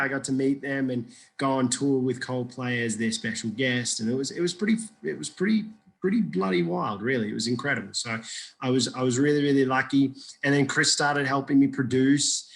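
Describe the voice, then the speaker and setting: A man's voice: mid-range voice, fairly bright tone. A man from Iraq, a guest telling his story in a casual interview, rambling and joking with many restarts.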